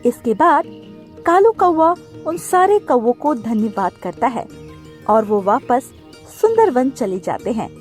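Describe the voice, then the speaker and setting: Slow, high-pitched woman storyteller. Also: very animated, a little mellow, faint music behind. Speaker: narrator. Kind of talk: scripted children's story narration.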